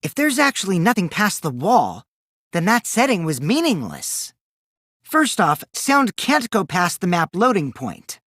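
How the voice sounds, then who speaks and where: A man's voice: high voice, wildly swooping pitch, measured pace, fairly bright tone, dry recording, studio quality, full character voice. An actor playing a mischievous teenage boy in an anime game dub, a gleeful liar who teases and taunts theatrically, shifting between singsong mockery and sly menace. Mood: coy, mocking.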